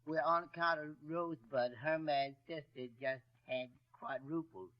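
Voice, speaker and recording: An actor playing a polite, slow-witted country boy in a 1930s radio comedy, drawling his lines and saying please sir. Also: on the high side, steady tone, close to an everyday voice. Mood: bashful. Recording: dull 1930s radio broadcast with faint hiss.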